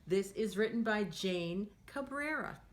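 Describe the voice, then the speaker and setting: A woman hosting children's storytime, reading a picture book aloud in an expressive, sing-song manner and talking directly to the young listeners. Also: mid-range voice, measured pace.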